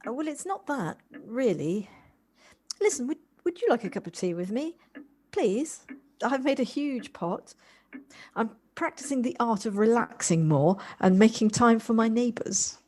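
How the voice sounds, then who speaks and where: A woman's voice: on the high side, expressive, measured pace, a little mellow. An actress playing a busy city career woman in an audio drama, polite, chatty and slightly flustered. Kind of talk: amateur audio drama acting.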